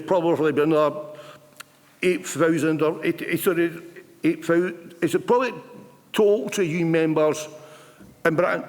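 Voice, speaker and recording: A man in his fifties, a retired union rep giving an earnest, plain-spoken conference speech with occasional stammered restarts in a large hall. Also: fairly high pitch. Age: 50s. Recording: long hall reverb.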